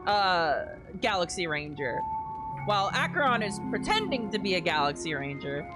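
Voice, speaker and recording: A woman live streamer giving casual, excitable reaction commentary while playing a game. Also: lowish voice, normal speed. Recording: game music underneath.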